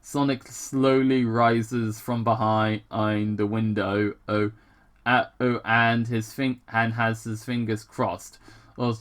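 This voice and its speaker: A person casually reading fan fiction aloud with commentary, halting and repeating words, with some swearing. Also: medium pitch, level tone. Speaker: gaming channel host reading fan fiction.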